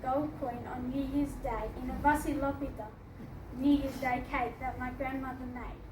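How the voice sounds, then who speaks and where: A woman's voice: sits high, a little mellow. A teenage girl from Australia, reading her personal essay aloud at a book launch, earnest and laughing now and then. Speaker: student reading her story. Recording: echoey hall from far off, noisy.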